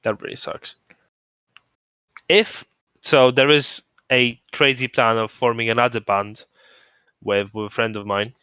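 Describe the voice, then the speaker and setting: A man chatting on a stream in a bright, clear voice. He rambles and restarts his sentences. The sound is close and dry, with no room in it. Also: medium pitch, fairly even intonation, unhurried, pauses often, no room sound. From moved to the UK from abroad. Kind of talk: casual chat.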